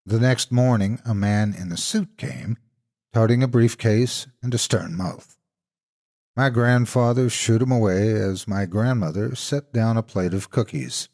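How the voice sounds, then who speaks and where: An older man narrating from a script as a horror storyteller, in a measured, deliberate and expressive way. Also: lowish voice.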